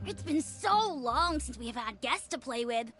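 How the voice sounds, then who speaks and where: A woman's voice: high voice, expressive, normal speed. A woman voicing an eager, friendly young child in a video game, with a childlike manner. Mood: eager, welcoming. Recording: music behind the voice.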